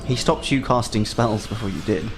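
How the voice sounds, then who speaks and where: A man's voice: lowish voice, moderate pace, noisy background. A man playing a tabletop game with casual, dry, sarcastic banter and role-play, music behind him.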